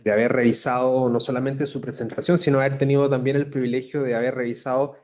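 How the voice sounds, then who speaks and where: A man's voice: mid-range voice, little pitch movement, fast, a little mellow. Hesitant man commenting on a lecture. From Chile. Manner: hesitant, many fillers and restarts. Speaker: academic commentator. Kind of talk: spoken academic commentary.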